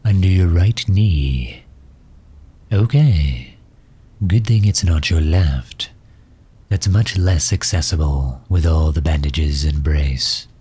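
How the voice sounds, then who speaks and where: Man acting a very deep, husky, doting villain. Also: mellow, full character voice. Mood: gentle concern. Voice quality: husky, breathy low voice. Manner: formal, solicitous, unhurried. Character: doting megalomaniac villain, formal, gently tending injured nemesis. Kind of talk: ASMR roleplay, intimate acting.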